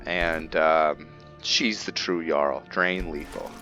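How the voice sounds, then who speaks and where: A man doing casual roleplay commentary over a video game, thinking aloud in a halting way. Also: a bass voice, fairly even intonation, sharp tone. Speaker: gaming video host. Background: game music.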